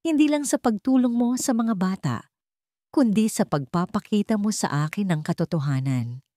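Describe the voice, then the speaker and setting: A woman narrator voicing a tender, earnest widowed father in love, pleading softly in an audio romance story. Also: medium pitch, animated, moderate pace, no echo, studio quality, pitched above the performer's own voice, natural voice.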